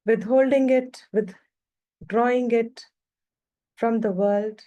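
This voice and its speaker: A woman with a fairly high voice guides a meditation at a very slow pace. She gives calm, unhurried instructions in short phrases, leaving long pauses between them.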